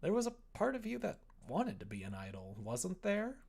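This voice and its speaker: A man's voice: high voice, wildly swooping pitch, moderate pace, gravelly, a little mellow, slightly rough, voice pushed up, full character voice. A man voicing the earnest, apologetic manager who leads a visual novel, tentative and encouraging. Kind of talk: visual novel read aloud.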